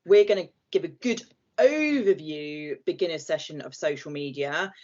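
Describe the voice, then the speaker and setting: A woman from southern England giving a friendly, chatty presentation on a video call, with some fillers. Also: on the low side. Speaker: guest speaker and company head. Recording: muffled video call audio.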